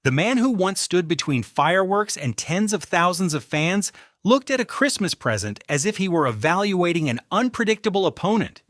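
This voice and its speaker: High-pitched, animated male narrator, dry recording. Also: moderate pace, no echo. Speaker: narrator of a celebrity compilation video. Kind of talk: scripted narration.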